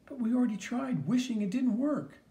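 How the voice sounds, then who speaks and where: A man reading an audiobook, voicing an eager, doubtful young boy in a higher, questioning voice. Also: fairly quick, mellow, distant mic. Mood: boyish.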